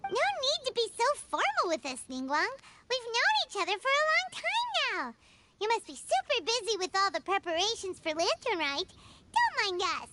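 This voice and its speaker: A woman voicing a tiny, cheerful, childlike fairy companion in a video game, chatty and excitable with a squeaky voice. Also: normal speed, heavy background noise, full character voice. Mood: friendly. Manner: refers to self in third person, bubbly. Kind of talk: video game character dialogue.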